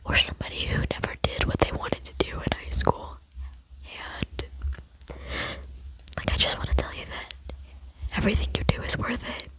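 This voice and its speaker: A woman's voice: moderate pace, pauses often, a bit bright, rough recording. A woman in her late teens whispering an earnest, rambling ASMR chat into a close microphone. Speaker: ASMR vlogger.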